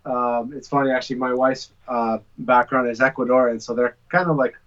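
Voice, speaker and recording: A man chatting casually as an interview guest, hesitant and halting as he feels for his words. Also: mid-range voice, fairly even intonation, fairly bright tone. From born in Bulgaria, raised in Canada from age six. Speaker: interview guest, small business owner. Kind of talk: casual chat. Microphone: video call. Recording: dull, lo-fi video call.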